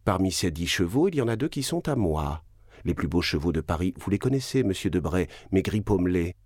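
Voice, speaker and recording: A man voicing an indignant, haughty baroness in a read-aloud classic novel, speaking in a sharp, reproachful way. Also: fairly low pitch, sing-song, a little slow, no echo, clean studio sound, voice pushed up, full character voice. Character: haughty indignant baroness, middle-aged woman.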